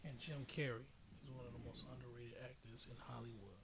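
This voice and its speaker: A man's voice: mid-range voice, steady tone, brisk, fairly bright tone. A man hosting a podcast in casual, opinionated chat with a blunt way of talking. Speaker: podcast host.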